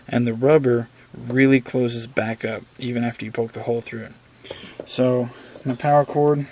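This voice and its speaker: A man giving a casual, rambling walkthrough from inside his car, filming with a handheld camera and talking with lots of "uh" fillers. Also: medium pitch. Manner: rambling, many "uh" fillers, plain-spoken. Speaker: video maker showing his car setup.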